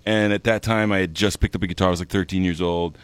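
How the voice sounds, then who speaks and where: A man's voice: deep voice, fast, clear, resonant tone, no room sound. A young man from Hawaii with a froggy voice, chatting casually as a guest musician and telling stories with lots of fillers. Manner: relaxed storytelling, many fillers. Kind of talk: casual chat.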